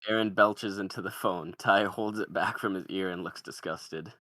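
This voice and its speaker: A man's voice: low voice, steady tone, normal speed, sharp tone, no echo, studio quality. A man narrating screenplay stage directions as a scripted read.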